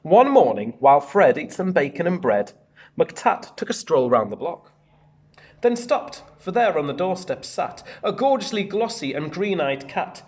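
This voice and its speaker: Man, very lively pitch, expressive children's storyteller, sing-song rhymes, chatty asides. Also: measured pace. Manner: animated, sing-song rhyming read-aloud. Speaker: primary school teacher reading a storybook.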